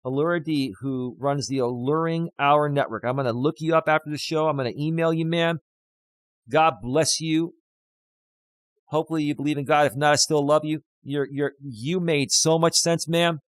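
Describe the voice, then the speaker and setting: Male life coach, 50, loud and emphatic, slightly high-pitched, dry close studio mic. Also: steady tone, moderate pace, no echo. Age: 50s. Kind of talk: live stream commentary reading chat. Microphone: studio dynamic mic.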